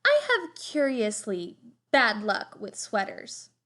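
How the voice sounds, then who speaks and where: A woman's voice: a little high, huge pitch swings, takes it slowly, sharp tone, full character voice. A woman voicing a gloomy, earnest young boy in a storybook, with woeful, dramatic delivery. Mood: glum. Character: gloomy, melodramatic, earnest boy in dreadful sweaters.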